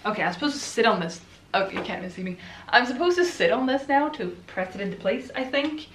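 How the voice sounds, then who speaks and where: A woman's voice: on the high side, animated, fast, a bit bright, slight background noise. Swedish woman chatting in an echoey room. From Sweden. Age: 20s. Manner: chatty, rambling, laughs often. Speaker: book vlogger. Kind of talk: casual chat while assembling furniture. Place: room at home. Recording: echoey room, camera at some distance.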